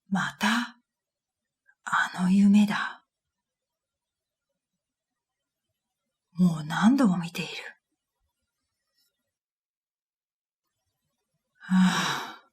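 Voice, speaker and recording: A woman playing a pensive dream reader, narrating her own story in a quiet, measured radio drama style. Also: medium pitch, heavily acted. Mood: weary, uneasy. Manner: quiet, measured, pensive, trailing into breathy phrase ends. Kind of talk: scripted first-person radio drama narration.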